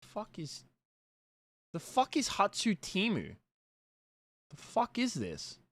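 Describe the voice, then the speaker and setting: A man's voice: high-pitched, wildly swooping pitch, slow, fairly bright tone, no room sound. Blunt, profane Australian streamer guy. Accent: mild Australian. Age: late 20s. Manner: profane, blunt, filler-heavy. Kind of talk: casual chat reacting to viewer comments.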